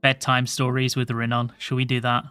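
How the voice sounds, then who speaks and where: A man streaming a game, chatting casually to his viewers in a soft voice, rambling and swearing offhandedly. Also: medium pitch, monotone, normal speed, smooth, no room sound, soft background music. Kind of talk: casual livestream chat.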